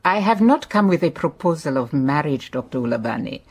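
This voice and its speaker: A woman's voice: sits low, lively intonation, darkish tone, breathy edge. An actress playing a stubborn lady private detective in a radio drama, speaking formally and unhurriedly with a Southern African accent. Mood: gentle, matter-of-fact. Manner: unhurried, formal, firm phrasing.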